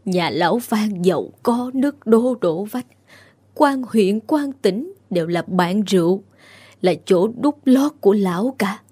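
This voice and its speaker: A woman voicing a frail, grieving old peasant mother who pleads in slow, wailing tones in an audio story. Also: mid-range voice, lively intonation, dark tone, slightly rough. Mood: weary. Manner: wailing, slow sobbing pleas.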